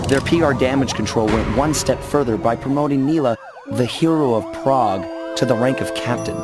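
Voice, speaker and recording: An actor playing a cocky, smooth young master thief in a video game, wry, self-assured and easygoing. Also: male voice, medium pitch, close to an everyday voice. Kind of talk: video game acting and storybook-like narration. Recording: very loud music behind.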